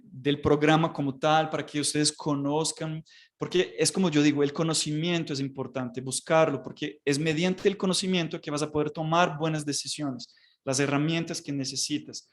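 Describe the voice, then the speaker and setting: A man's voice: a little high, steady tone, moderate pace. A man hosting a live online program, speaking with frequent fillers and restarts. He is not from Colombia. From outside Colombia. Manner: hesitant, many fillers and restarts. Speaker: host.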